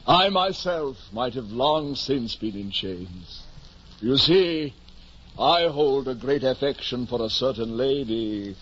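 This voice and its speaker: Deluded pompous knight, kinda high voice, fancy old words, muffled old radio drama. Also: male voice. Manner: pompous, florid, archaic oratory. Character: deluded, chivalrous, grandiose knight-errant near fifty. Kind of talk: 1950s radio drama acting.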